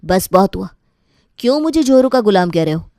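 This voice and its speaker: A woman's voice: mid-range voice, sing-song, brisk, dark tone, dry recording, studio quality. A woman voicing a fed-up young husband in a family story, speaking firmly and indignantly. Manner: indignant, firm. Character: fed-up, principled young husband defending his wife.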